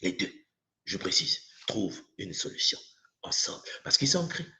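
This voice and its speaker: A man with a Congolese accent teaches spiritual ideas to an online audience. His pitch moves widely and expressively as he repeats key phrases and puts questions to his listeners in an impassioned way. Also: very animated, moderate pace, vocal fry, bright, forceful tone. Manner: rhetorical questions, acts out imagined dialogue, repeats for emphasis.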